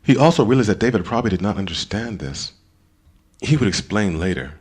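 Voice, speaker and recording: A man narrating an audiobook in a dramatic scripted style, expressive, with deliberate pauses. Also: fairly low pitch, slightly rough.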